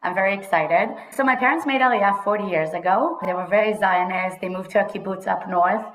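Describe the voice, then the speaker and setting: A woman's voice: mid-range voice, brisk, a little hiss or hum. Woman, 30s, from Israel; chatty interview guest, echoey room sound. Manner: chatty, mixes in Hebrew words, laughs often. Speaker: guest, HR consultant. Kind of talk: casual interview conversation.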